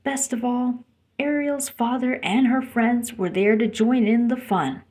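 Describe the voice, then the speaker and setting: A woman with a fairly high voice narrates a children's storybook. She reads a scripted tale aloud for young listeners. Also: fairly even intonation, a little slow, a little mellow. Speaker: storybook reader.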